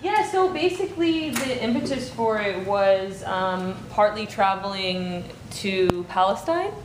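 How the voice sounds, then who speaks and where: A woman's voice: animated, unhurried. A young woman from Michigan in a casual interview, talking through her play in a chatty way full of "like" and "um". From Michigan, United States. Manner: chatty, full of "like" and "um", thinking aloud. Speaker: playwright and director. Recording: roomy echo, faint rumble.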